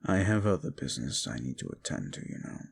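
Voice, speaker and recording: A man voicing a brooding, scornful fallen demon in an audio roleplay, with a deep gravelly voice and a slow way of talking. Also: sing-song, full character voice.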